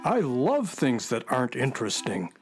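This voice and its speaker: A man's voice: mid-range voice, huge pitch swings, normal speed, faint music behind. A man playing an earnest, stuffy collector in a children's radio drama, speaking in a formal, wordy, pedantic way. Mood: polite, curious. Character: Briscoe, earnest stuffy male collector, fond of dull things.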